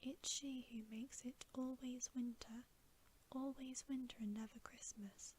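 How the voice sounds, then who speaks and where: A woman's voice: sits high, steady tone, measured pace, darkish tone. A woman voicing a guilt-ridden, weepy male fawn in a whispered ASMR storybook reading. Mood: remorseful confession. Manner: whispered, sorrowful, remorseful. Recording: faint background hiss.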